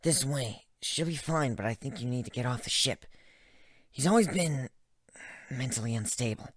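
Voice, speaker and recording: A man's voice: mid-range voice, moderate pace, no echo, voice pushed up, natural voice. Concerned female griffin, read by a man, fantasy tale, matter-of-fact delivery, close dry recording. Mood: concerned, steady.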